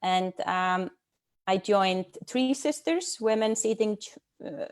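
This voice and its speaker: A middle-aged woman from Iceland speaking as a guest on a video call, thoughtful and hesitant, with many pauses and fillers. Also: medium pitch, expressive. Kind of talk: casual personal talk.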